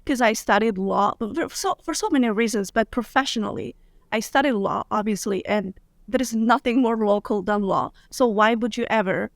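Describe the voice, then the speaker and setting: Gravelly-voiced woman lawyer guest, dry sound. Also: fairly high pitch, normal speed, no echo. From Morocco, grew up in Spain. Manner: upbeat, candid, laughs easily. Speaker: guest, international business lawyer. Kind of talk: casual interview conversation.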